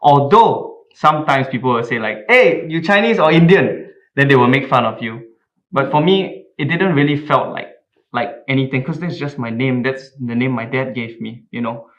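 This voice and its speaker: A man's voice: mid-range voice, moderate pace, a bit bright. A man speaking as a panel guest, telling personal stories casually. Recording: slightly dull, muffled sound.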